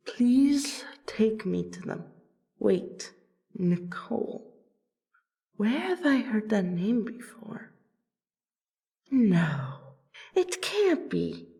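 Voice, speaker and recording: A woman playing a courteous royal vampire and loyal friend in a fantasy audio roleplay, with a polite, theatrical, deliberate delivery. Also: mid-range voice, lively intonation, vocal fry, dark tone, exaggerated voice. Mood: pleading, awed.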